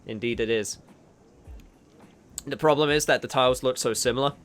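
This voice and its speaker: A man's voice: mid-range voice, moderate pace, fairly bright tone, faint music behind. A man casually thinking aloud while playing a video game, muttering his moves, swearing now and then, and chatting with viewers. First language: not Japanese. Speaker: gaming streamer. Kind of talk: casual gameplay commentary.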